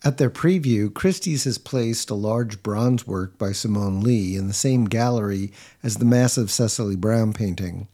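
A man hosting and narrating a report, with a steady, scripted delivery. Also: on the low side, normal speed, hardly any pauses. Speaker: host and narrator.

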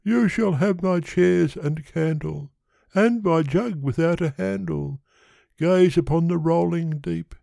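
A man's voice: fairly high pitch, slow pace, creaky, warm tone, dry recording, pitched above the performer's own voice, heavily acted. A man voicing a tiny, big-headed, lovelorn creature, earnest and wistful, pleading in storybook verse. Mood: eager wooing.

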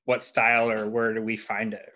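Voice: mid-range voice, completely flat delivery, normal speed, pushed and bright. A host asking casual interview questions with lots of ums, often trailing off with "or?".

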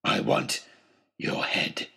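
A man voicing a monstrous, vengeful madman with a rough bellowing growl and a slow, menacing delivery in an audiobook. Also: bright, forceful tone. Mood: menacing rage. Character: monstrous roaring madman villain, wild, vengeful, ghastly cheerful. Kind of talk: audiobook character voice.

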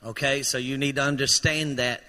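A man's voice: mid-range voice, flat delivery, fairly quick, pushed and bright. Man, pastor, fervent sermon, strained voice, echoing church hall reverb. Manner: fervent preaching, rousing calls to the congregation. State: voice strained from shouting. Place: church sanctuary.

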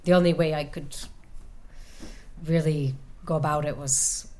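Woman, low voice, hesitant, reflective, faint room noise. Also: fairly even intonation, a little mellow. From United States. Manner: thoughtful, halting, many restarts and fillers. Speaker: author at a reading. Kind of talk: book talk and audience Q&A. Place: event room with an audience.

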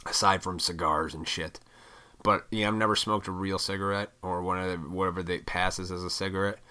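A man in his thirties rants off the cuff, swearing and wandering between points. His voice sits low but comes out hard and pushed, with a froggy throat he keeps clearing. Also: flat delivery, fairly quick, sharp tone. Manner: profane, opinionated, rambling. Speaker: vlogger. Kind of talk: rambling casual rant to camera.

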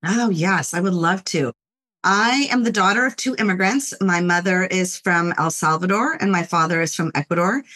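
Middle-aged woman, from Los Angeles, fairly low voice, measured and reflective, interview guest. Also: pushed and bright. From Los Angeles, United States. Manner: measured, thoughtful, often says "you know". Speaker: guest, corporate lawyer and author.

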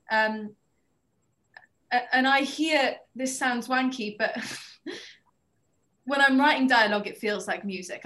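Lively woman writer. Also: a little high. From Wales. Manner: animated, laughing storyteller. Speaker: TV writer on a panel. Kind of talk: casual panel talk.

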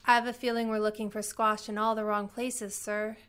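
Woman as an earnest, bright-voiced girl sidekick. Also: mid-range voice, flat delivery, brisk, smooth, natural voice. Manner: dutiful, formal. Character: Darlene, earnest, deferential, devoted girl sidekick. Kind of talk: comic radio play acting.

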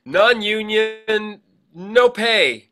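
A man with an American accent hosting a casual chat, animated and given to acting out quoted remarks. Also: high-pitched, drawn-out words. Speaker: podcast host, actor and director.

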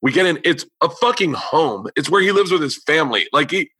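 Male comedian-host, casual swearing storyteller, bright tone, dry studio sound. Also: fairly high pitch, expressive, fairly quick, slightly rough, dry recording. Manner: loose, profane storytelling with quoted voices. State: losing his voice. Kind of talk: casual chat.

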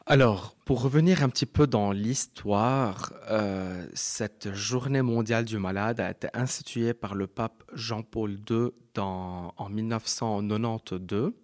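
A man with a low voice speaks as a radio guest about his pastoral work. He explains with some hesitation and restarts. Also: unhurried, few pauses, a little mellow. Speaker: head of health ministry. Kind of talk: radio interview.

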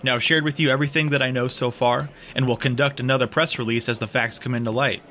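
A stern male politician played by an actor in an audio drama, with a bright, forceful voice at a middle pitch. He speaks firmly, like an official under pressure who would rather not explain himself. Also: steady tone, fast talker, lightly acted. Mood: guarded, official. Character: embattled male governor, stern, evasive, foul-mouthed in private.

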